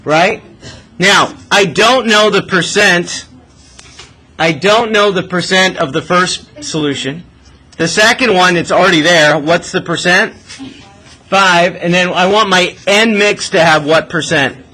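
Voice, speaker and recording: Male classroom math teacher, high and bright, informal, question-led delivery, light room noise. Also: slow pace. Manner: informal, frequent check questions. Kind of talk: classroom lesson, working a problem aloud. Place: classroom with students. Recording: noisy classroom recording.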